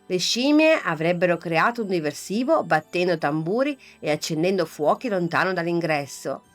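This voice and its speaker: Low calm woman storyteller. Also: moderate pace, soft background music. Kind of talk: scripted bedtime story narration.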